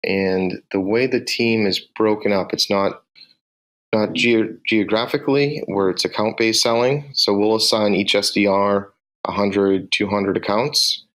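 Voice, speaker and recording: Low-voiced Boston man explaining sales steps. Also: fairly even intonation, fairly bright tone. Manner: direct, measured, explains step by step. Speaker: sales team manager. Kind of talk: podcast interview answers.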